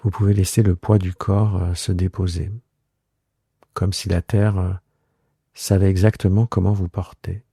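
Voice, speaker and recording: A man guiding a yoga relaxation meditation in a soothing, unhurried way, leaving long pauses between short phrases. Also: low voice, level tone, creaky, mellow, husky, no room sound, clean studio sound. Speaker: yoga nidra guide and host. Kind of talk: guided relaxation meditation, improvised.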